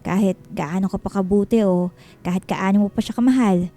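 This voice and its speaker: An actress playing a frank but caring married best friend giving advice in a radio drama. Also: female voice, medium pitch, steady tone, fairly quick, mellow, faint music behind, lightly acted. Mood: earnest advising. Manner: candid, consoling, advising. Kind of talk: Filipino radio drama acting.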